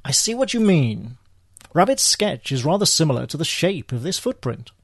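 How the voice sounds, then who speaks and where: A droll spy played by a man in a radio play, unflappable and wry. His voice is slightly husky and swings widely in pitch, while his wit stays deadpan. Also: moderate pace, no echo, studio quality, exaggerated voice. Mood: brisk, thoughtful. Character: droll, unflappable male British spy, dryly witty. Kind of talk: scripted radio theatre acting.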